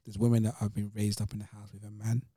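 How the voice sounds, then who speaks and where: A man with a low, mellow, husky voice speaks as a podcast panellist. His style is casual discussion, recorded dry. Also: completely flat delivery, fast, no room sound.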